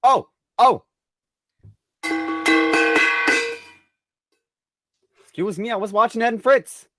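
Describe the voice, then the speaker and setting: A man playing a slow-witted, apologetic studio helper in a 1940s-style radio play. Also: high voice, heavy background noise, lightly acted. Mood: dopey, apologetic. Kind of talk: old-time 1940s radio play acting.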